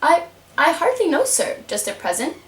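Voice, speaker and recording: A fretful young girl played by a woman chatters her worries aloud in a very high, animated voice. She is polite and unsure, a puppet-show heroine picked up from a little distance. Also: moderate pace, exaggerated voice. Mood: timid, confused. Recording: roomy, distant home microphone.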